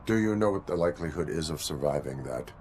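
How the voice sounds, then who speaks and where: A man playing a stern cyborg police officer in a video game, speaking formally with mechanical, deadpan phrasing. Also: rumbling low, fast.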